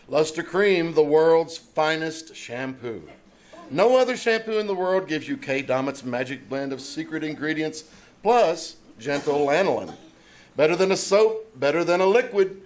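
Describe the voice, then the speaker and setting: A man playing a polished 1950s radio announcer reading scripted sponsor copy in a live stage show. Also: on the high side. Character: smooth 1950 radio announcer pitching shampoo. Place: small-town theater stage, performed live.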